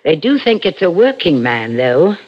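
A woman's voice: low-pitched, big pitch swings, normal speed. A woman acting a fretful, needling working-class wife in a vintage radio suspense drama, with dramatic, aggrieved delivery. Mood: gossipy, eager. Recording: dull lo-fi old radio broadcast.

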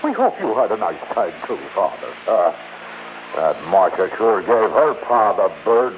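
An actor playing a cynical, slangy investigator with a mocking streetwise manner, in 1960s radio drama style. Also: male voice, lowish voice, sing-song, a little slow, phone-quality sound. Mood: sardonic. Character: cynical, sardonic, slangy male investigator, indignant at neglect. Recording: band-limited, faintly noisy old radio recording.

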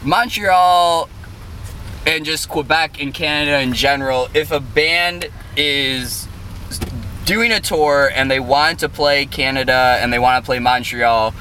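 A male host from the Chicago area, chatting loosely and laughing easily. His voice is bright and forward. Faint noise sits underneath. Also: a little high, a little slow, recorded from a distance, poor audio. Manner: relaxed, laughs often, loose questions. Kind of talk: casual interview chat.

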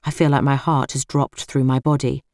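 A woman's voice: extremely low pitch, flat delivery, moderate pace, darkish tone, no room sound, clean studio sound. A British woman reading first-person fiction as an audiobook narrator.

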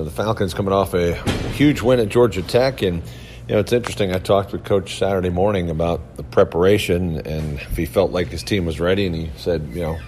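Deep-voiced male sports show host. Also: a bit bright, a little hiss or hum. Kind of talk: broadcast hosting and interview.

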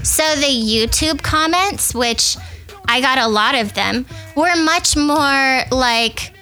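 A woman with a high, childlike voice, telling stories in an animated way during a casual podcast chat. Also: faint music behind, rough recording. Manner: animated, swearing, quick storytelling. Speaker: podcast co-host.

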